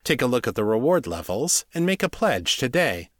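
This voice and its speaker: A man's voice: mid-range voice, very animated, normal speed, no room sound. A man hosting a solo podcast, reading a scripted update in an earnest, articulate way, close on the microphone. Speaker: podcast host and fiction author.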